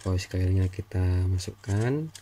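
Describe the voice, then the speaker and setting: A man's voice: sits low, takes it slowly, dark tone, a little hiss or hum. A man giving an informal hands-on tutorial, talking viewers through the work in short step-by-step phrases. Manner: talks in short step-by-step phrases while working, addressing viewers. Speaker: repair tutorial host.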